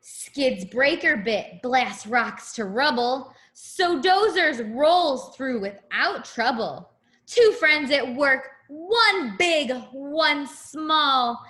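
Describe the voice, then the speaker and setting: A woman with a high voice reads a picture book to small children in a slow, unhurried way. Her intonation swings widely and expressively, and she speaks simply, as a teacher does to young pupils. The room adds a noticeable echo. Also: expressive, sharp tone. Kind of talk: children's read-aloud with asides to the listeners.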